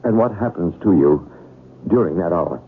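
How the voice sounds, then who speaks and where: An actor playing a worried, insistent husband and professor, speaking earnestly in an old-time radio drama style. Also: male voice, low-pitched, expressive, moderate pace, slightly rough, full character voice. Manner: earnest, urgent. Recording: tinny, noisy old radio broadcast.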